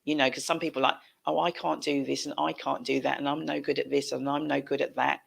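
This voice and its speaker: A woman's voice: rumbling low, level tone, fast talker, creaky, breathy edge. A middle-aged British woman hosting a casual chat on a video call, encouraging and emphatic, repeating phrases for effect. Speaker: show host. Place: home room, indoors. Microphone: headset, video call.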